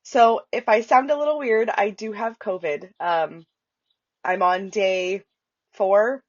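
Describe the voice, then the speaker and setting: A woman's voice: mid-range voice, slow pace, no echo, lo-fi sound. A woman with a stuffy, congested voice hosting a casual crochet tutorial at home, chatty and full of fillers. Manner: chatty, lots of fillers and restarts. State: stuffy, nasal from a cold. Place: home with dogs walking around. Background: dog nails clicking on the floor.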